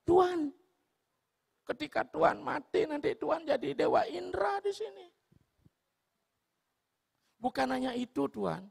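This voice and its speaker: A man voicing a chorus of young celestial nymphs in a coy, deferential falsetto. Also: animated, a little slow, creaky, pauses often, full character voice. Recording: long hall reverb.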